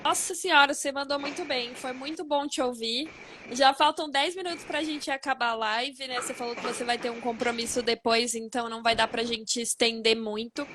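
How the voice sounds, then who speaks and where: Bright, high-voiced woman hosting a live stream. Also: steady tone, fairly quick, noisy background. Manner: enthusiastic, chatty. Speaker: host.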